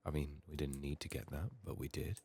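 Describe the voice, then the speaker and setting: A soft-spoken man giving unhurried ASMR game commentary, musing to himself and sometimes slipping into a whisper. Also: rumbling low, fairly even intonation, breathy edge. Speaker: gaming streamer, ASMR host.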